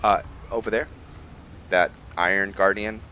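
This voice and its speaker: A man giving casual gameplay commentary and explaining character lore, haltingly thinking aloud as he goes. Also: lowish voice, fairly even intonation, sharp tone, lo-fi sound, a little hiss or hum. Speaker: gameplay host and role-player.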